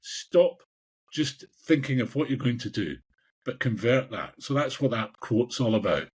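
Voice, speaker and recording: A Scottish man in his sixties with a husky voice, answering interview questions in a passionate, emphatic way and repeating phrases for emphasis. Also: mid-range voice, lively intonation, unhurried. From Scotland. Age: 60s. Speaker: executive coach, podcast guest.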